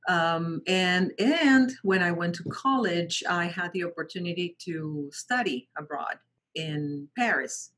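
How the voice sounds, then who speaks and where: Interview guest: woman from Mexico, native Spanish speaker, low and breathy, halting self-corrections. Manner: frequent restarts and repeated words, animated quoting of others. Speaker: guest, translation company founder. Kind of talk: conversational interview storytelling.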